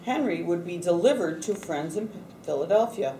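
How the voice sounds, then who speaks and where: Woman, low pitch, soft-toned, measured storybook reading, roomy echo, faint noise. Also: animated, recorded from a distance. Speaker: storybook reader at a read-aloud event. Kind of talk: scripted picture-book read-aloud.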